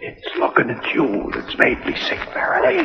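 An actor playing a sardonic detective with a bad cold, hoarse and nearly voiceless, in a 1940s radio drama. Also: male voice, medium pitch, wildly swooping pitch, moderate pace. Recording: dull old 1940s radio recording.

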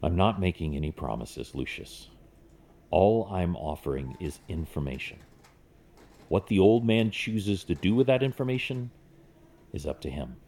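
An actor playing a stern, principled billionaire vigilante in an audio drama, speaking in a measured, deliberate, speechifying way. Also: male voice, deep voice, expressive, warm tone. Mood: calm, calculating.

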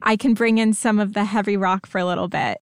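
A woman's voice: medium pitch, steady tone, fast, clear, resonant tone, dry recording, studio quality. A woman chatting casually on a podcast team in an easygoing, giggly way. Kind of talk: casual chat.

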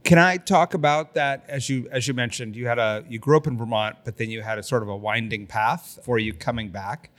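A man co-hosting a podcast, reading a scripted intro and then chatting in a friendly, relaxed way. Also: mid-range voice, a little fast, hardly any pauses. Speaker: podcast co-host.

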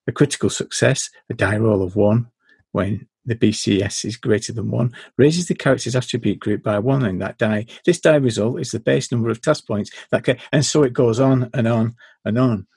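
A man hosting a podcast, talking in a dry, measured, deadpan way and reading game rules aloud. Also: medium pitch, creaky, husky, no echo. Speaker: podcast host.

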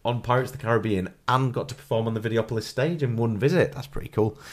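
A man's voice: mid-range voice, normal speed. A man hosting a podcast with a blocked nose from a cold, reading a listener's trip report aloud and chuckling often. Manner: chuckles often, clears throat. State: congested, stuffy nose from a cold. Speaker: podcast host.

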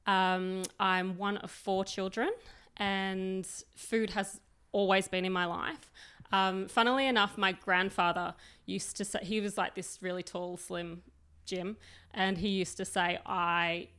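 A woman's voice: mid-range voice, steady tone, unhurried, fairly bright tone, clean tone. Chatty Australian woman in her thirties. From New South Wales, Australia. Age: 30s. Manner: open, chatty storyteller. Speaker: guest, recipe developer. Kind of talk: casual interview.

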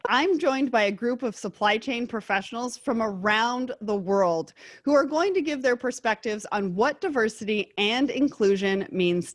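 A woman hosting a podcast panel, reading sponsor messages and then moderating in a clear, upbeat way. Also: a little high, dry recording, studio quality. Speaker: podcast host.

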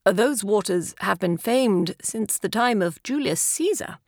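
A woman voicing a diffident, courteous gentleman in his thirties for an audiobook, measured and politely guarded. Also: medium pitch, lively intonation, no room sound, studio quality. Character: reserved, evasive gentleman of thirty-five, mildly courteous.

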